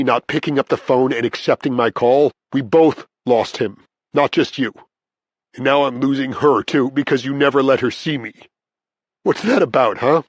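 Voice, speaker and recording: A man's voice: mid-range voice, dry recording, close to an everyday voice. A man playing an anguished imprisoned father in an audiobook, speaking with raw feeling to his family. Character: imprisoned father, anguished, bitter, tender with his small daughter.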